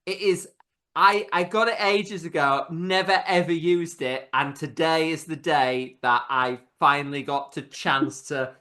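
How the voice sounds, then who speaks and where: A man's voice: on the high side, fairly even intonation, a little slow, pushed and bright. A man hosting a casual video-call cooking chat, joking about himself and laughing a lot. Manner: chatty, laughs often, self-deprecating. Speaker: host.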